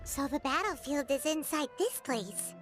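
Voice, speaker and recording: Squeaky tiny fairy girl. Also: animated, unhurried, fairly bright tone, soft background music. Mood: chirpy, curious. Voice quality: squeaky, childlike. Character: tiny childlike female fairy companion, chirpy and cheeky. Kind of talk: anime video game voice acting.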